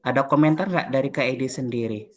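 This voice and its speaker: A young man from Jakarta runs a relaxed podcast chat with colleagues. His voice sits at an ordinary middle pitch, and he speaks in a friendly way, with filler pauses between questions. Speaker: podcast host. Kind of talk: casual group podcast chat.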